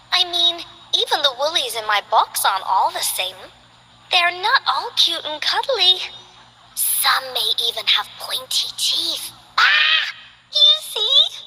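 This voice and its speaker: A woman voicing a lonely, dreamy little girl who is playful and curious, speaking in a soft, wistful, childlike way in game character voice lines. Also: high-pitched, big-room sound, slight background noise, full character voice, rough recording.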